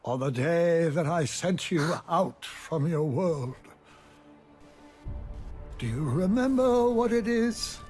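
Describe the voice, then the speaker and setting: A man playing an ominous, taunting villain in a video game cutscene, speaking in a deliberate, theatrical way. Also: on the high side, lively intonation, darkish tone, faint music behind. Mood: solemn menace. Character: ominous, taunting male villain, calmly menacing and grandiose.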